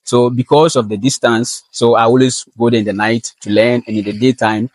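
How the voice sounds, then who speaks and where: A young man from northern Ghana with a Ghanaian accent, answering interview questions in an earnest, measured way. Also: mid-range voice, steady tone, dry recording. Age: young adult. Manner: earnest and measured, with frequent fillers. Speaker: guest, valedictorian student.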